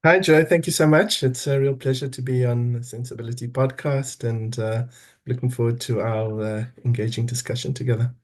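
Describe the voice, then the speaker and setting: A man's voice: mid-range voice, fairly even intonation, brisk. A middle-aged man from South Africa with a South African accent, a podcast guest speaking reflectively and often restarting as he searches for words. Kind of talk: reflective interview talk.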